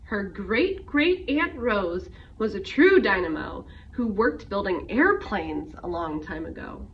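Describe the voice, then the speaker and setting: A woman reading a rhyming picture book aloud to young children in an expressive storytelling way. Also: medium pitch, slow. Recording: muffled, noisy, distant microphone.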